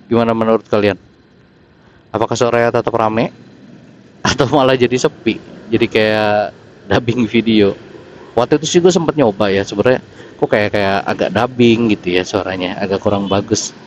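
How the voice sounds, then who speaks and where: A man's voice: lowish voice, normal speed, long pauses. A man with a Jakarta Indonesian accent chatting casually and slangily to viewers, thinking aloud as he rides a motorcycle, on a close helmet intercom mic. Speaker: motorcycle vlogger. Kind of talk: casual chat to viewers while riding. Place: outdoors on a motorcycle in city streets. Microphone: intercom mic a finger from mouth, inside helmet. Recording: helmet intercom mic, clear vehicle noise. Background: traffic and engine noise.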